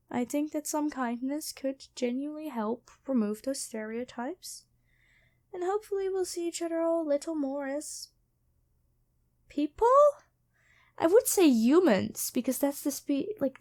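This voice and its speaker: A woman playing a kind angel medic and former nun in an ASMR roleplay, speaking gently and hesitantly to the listener. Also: a little high. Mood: thoughtful, curious. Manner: gentle, soft-spoken, apologetic, hesitant.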